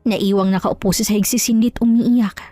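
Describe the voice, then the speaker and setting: A woman's voice: mid-range voice, steady tone, fairly quick, warm tone, no room sound, faint music behind. A woman reading scripted dramatic narration as the storyteller of an audio story.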